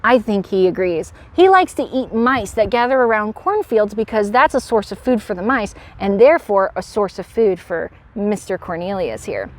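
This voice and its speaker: A woman presenting as a park educator to children, with an animated manner, explaining and putting questions to young viewers. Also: mid-range voice, normal speed, few pauses. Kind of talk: animated educational read-aloud and animal demonstration.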